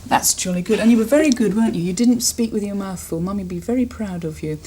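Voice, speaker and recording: A woman's voice: medium pitch, fast, warm tone, a little hiss or hum. A British woman presenting on television in a chatty interview style, playfully teasing. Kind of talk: chatty studio interview with a child.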